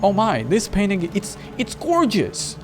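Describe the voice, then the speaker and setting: A dorky middle-aged father from a visual novel, put on by a man. His voice is raised high and full of gushing, excitable delight. Music plays clearly behind him. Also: measured pace, exaggerated voice.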